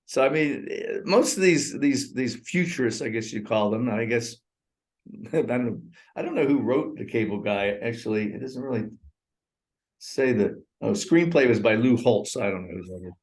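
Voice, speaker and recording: An older man, around sixty, co-hosts a casual movie review chat over a remote call. He rambles from one thought to the next and breaks into laughter often, at an ordinary pitch for a man. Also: animated, normal speed, a little mellow. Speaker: co-host. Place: in Thailand.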